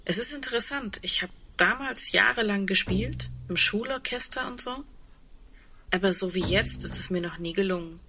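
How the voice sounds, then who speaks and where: A woman playing a wistful double bass player in a naturalistic radio play, speaking in a reflective, halting and intimate way with a husky voice. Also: sharp tone, a little hiss or hum, poor audio. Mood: musing, amused.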